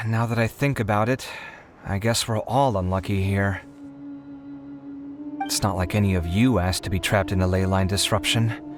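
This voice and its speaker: A man voicing a kindhearted, self-pitying young inventor in a video game, with expressive, theatrical delivery. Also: on the low side, normal speed, over music, close to an everyday voice. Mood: woeful, self-pitying. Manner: expressive, long-suffering, theatrical complaining.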